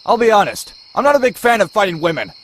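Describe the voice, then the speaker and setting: A man's voice: sits high, big pitch swings, fast, a bit bright. A man voicing a cocky, sardonic young masked vigilante antihero in a motion comic, full of quips. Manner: sardonic, quippy. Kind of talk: motion comic narration and dialogue. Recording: echoey, roomy sound.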